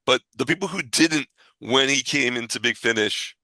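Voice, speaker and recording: A man's voice: mid-range voice, normal speed, pushed and bright, no echo. A man co-hosting a casual podcast, giving his opinions emphatically and repeating phrases to make his point. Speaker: podcast co-host.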